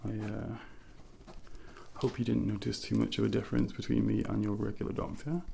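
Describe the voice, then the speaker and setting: A soft-spoken man playing a doctor in an ASMR role play, murmuring gentle, reassuring instructions close to the mic and sometimes whispering. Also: fairly low pitch, level tone, brisk, creaky, a little hiss or hum. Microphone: close-up ASMR microphone.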